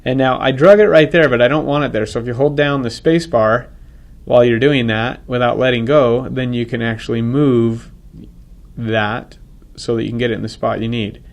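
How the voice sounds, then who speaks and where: A man with a head cold, talking casually through a software tutorial and correcting himself as he goes. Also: mid-range voice, steady tone, moderate pace, creaky. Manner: casual, thinks aloud, self-correcting. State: congested from a cold. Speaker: software instructor. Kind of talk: screen-recorded software tutorial.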